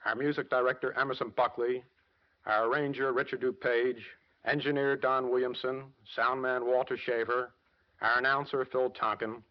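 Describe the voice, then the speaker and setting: A man's voice: medium pitch, flat delivery, normal speed. A man, a radio producer, giving a formal scripted thank-you speech on 1940s radio. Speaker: radio producer-director accepting an award. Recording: muffled vintage radio recording.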